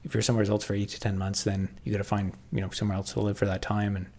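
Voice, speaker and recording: A man with a Canadian accent chatting casually on a livestream, answering viewers and thinking aloud in halting, restarting sentences. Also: on the low side, little pitch movement, a little mellow.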